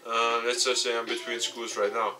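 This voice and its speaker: A man's voice: mid-range voice, little pitch movement, normal speed, lightly acted. Man playing a dry, level-headed team recruiter. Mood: wry. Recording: game music behind the voice.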